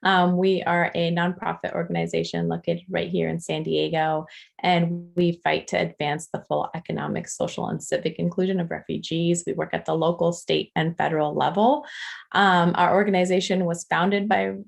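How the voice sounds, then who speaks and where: Gravelly-voiced woman giving a talk. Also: fairly low pitch, moderate pace. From Afghanistan. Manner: many filler ums and restarts, earnest. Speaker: nonprofit advocate speaking to a political club. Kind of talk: informal presentation at a meeting.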